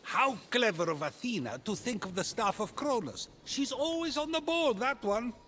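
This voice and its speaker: A high-pitched, animated old god of a comic video game. He is boastful and flippant, with a chatty pompous manner and big swings in pitch. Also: moderate pace, slight background noise. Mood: smug.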